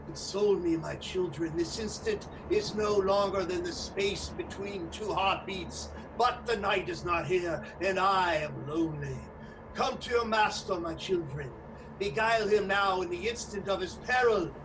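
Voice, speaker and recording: A commanding vampire lord of a radio drama, chanting his summons in slow, theatrical declamation. The voice is pitched high and sits far from the mic in a noisy recording. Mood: commanding, yearning. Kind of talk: radio play acting. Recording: distant mic, clearly noisy background.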